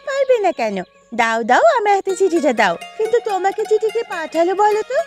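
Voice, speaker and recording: A woman's voice: extremely high pitch, very animated, fast talker. A woman voicing a friendly, helpful, chatty young village woman in a Bengali cartoon. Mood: curious. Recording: music behind the voice.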